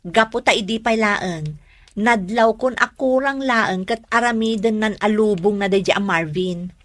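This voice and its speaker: A woman's voice: medium pitch, moderate pace, a bit bright, dry recording, natural voice. A woman playing a nosy, worried friend in a radio drama, with an Ilocano accent. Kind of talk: Filipino radio drama acting.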